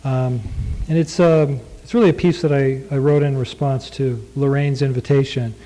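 A man, a former student, reading aloud a prose tribute he wrote, halting and soft-spoken between passages and slow and measured as he reads. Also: medium pitch, steady tone, rough recording.

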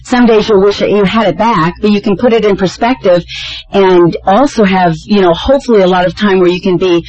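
A woman's voice: medium pitch, fairly quick, darkish tone, dull audio. A woman panelist answering an audience question in a reassuring, thoughtful, conversational way.

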